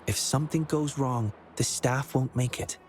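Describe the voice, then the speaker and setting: A man's voice: medium pitch, fairly even intonation, normal speed, faint music behind, close to an everyday voice. A man voicing a cautious, sensible young student in a video game. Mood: wary, teasing.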